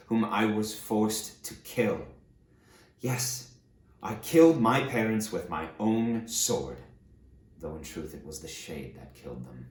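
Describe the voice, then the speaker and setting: A man's voice: low voice, roomy sound, a little hiss or hum. A man playing an aged fallen tyrant, remorseful and grandiose, reading his confession in a solemn, dramatic audiobook style. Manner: solemn, dramatic, deliberate storytelling delivery.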